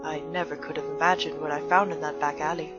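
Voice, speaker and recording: A woman's voice: deep voice, little pitch movement, fast, lo-fi sound, over loud music, natural voice. Woman voicing Grillby, a polite fire-monster bartender. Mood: wistful. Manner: polite, formal phrasing with no contractions. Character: formal, gentle adult male fire-monster bartender. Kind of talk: fan comic dub.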